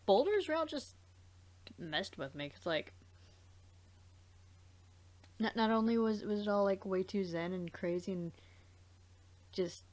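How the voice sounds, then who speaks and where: A woman hosting a let's play, chatting casually in a rambling, halting way and circling back mid-thought. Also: medium pitch, lively intonation, darkish tone. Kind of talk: casual gaming chat.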